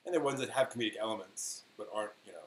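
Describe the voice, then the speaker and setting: A man hosting a live stream in casual, rambling chat, thinking aloud haltingly and leaning on key words. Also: on the low side. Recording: echoey room with faint noise.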